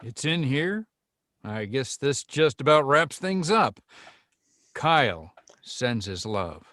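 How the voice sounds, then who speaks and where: A man's voice: medium pitch, big pitch swings, slow pace, fairly bright tone, dry recording, studio quality. A man in a screenplay table read, playing a smug, confident, menacing villain. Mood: smug menace.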